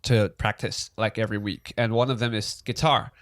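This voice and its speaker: A young man from Taiwan with a Taiwanese accent, Mandarin his first language, co-hosting a casual podcast and talking in halting, restarting sentences. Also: on the low side, fairly even intonation, fairly bright tone. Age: young adult. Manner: halting, many restarts and fillers. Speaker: podcast co-host. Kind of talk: casual chat.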